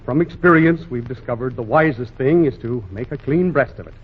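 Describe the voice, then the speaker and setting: An actor playing a condescending, gently insistent children's home official in a 1940s radio comedy. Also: male voice, mid-range voice, brisk, slightly rough, close to an everyday voice. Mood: patronizing, soothing. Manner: condescending, patient, soothing. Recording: muffled old radio broadcast.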